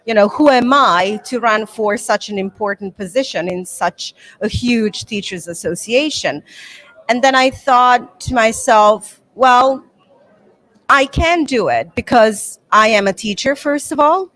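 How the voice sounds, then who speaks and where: A woman's voice: fairly high pitch, measured pace, a bit bright, no room sound, rough recording. A middle-aged woman from the Balkans, a Serbo-Croatian native speaker, answering conference interview questions earnestly and thoughtfully, with many fillers. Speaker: materials writer and incoming association president.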